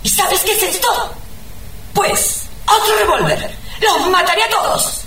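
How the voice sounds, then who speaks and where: A woman playing a sweetly murderous, treacherous mistress in a comic radio play, with slow, mocking, theatrical delivery. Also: very high voice, pushed and bright, heavily acted. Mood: gloating. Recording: echoey, distant studio sound with faint noise.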